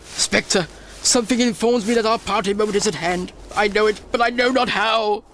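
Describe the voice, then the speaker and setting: A very high-pitched old miser in a radio play. He is emotional and declamatory, a repentant soul speaking with theatrical fervour. There is some noise on the recording. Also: fairly even intonation, normal speed, a bit bright. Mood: fearful, pleading. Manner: impassioned, declamatory, pleading. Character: repentant old male miser, fearful then giddy with joy. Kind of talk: theatrical radio drama acting.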